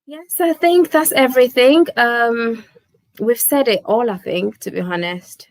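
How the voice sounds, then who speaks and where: A woman's voice: a little high, unhurried, no room sound. Gambian woman chatting on a live stream. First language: Wolof. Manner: chatty, repeats words, says "basically" often. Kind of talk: casual live-stream chat.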